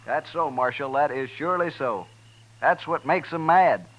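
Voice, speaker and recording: An American actor playing a talkative, hospitable buffalo hunter in a 1950s radio western, with folksy, drawling rustic speech. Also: male voice, fairly high pitch, moderate pace, close to an everyday voice. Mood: folksy, chatty. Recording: dull, band-limited 1950s radio recording.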